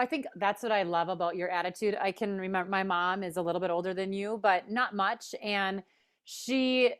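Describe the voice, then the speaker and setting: A woman with a Minnesota accent hosting a panel conversation over a video call, upbeat and enthusiastic as she introduces her guests. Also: medium pitch. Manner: upbeat, enthusiastic, quick restarts. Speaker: host.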